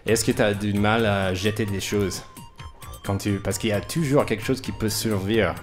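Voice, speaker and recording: A low-voiced man hosts a conversational radio interview, with music playing behind him. French is not his first language, and he feels his way through sentences, repeating words as he searches for the next one. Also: fairly even intonation, moderate pace. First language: not French, speaks it as a second language. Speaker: radio host interviewing guests.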